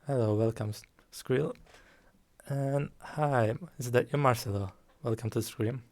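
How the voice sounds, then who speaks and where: A man streaming a card game, talking calmly and softly, with frequent ums. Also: mid-range voice. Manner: slow, calm, soft-spoken with frequent ums. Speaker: guest streamer. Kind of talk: casual game stream commentary.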